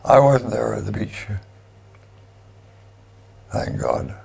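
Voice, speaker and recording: Very old man, gravelly and raspy. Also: fairly low pitch, very animated, darkish tone. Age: 90s. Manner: slow, emotional. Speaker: veteran interviewee. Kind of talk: interview remarks.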